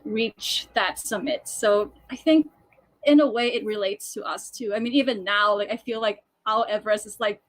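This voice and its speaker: A woman's voice: mid-range voice, moderate pace, low-quality recording. A woman who grew up in Thailand, a musical theatre composer, chatting on a video call with many fillers and restarts. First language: Thai. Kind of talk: casual video call chat.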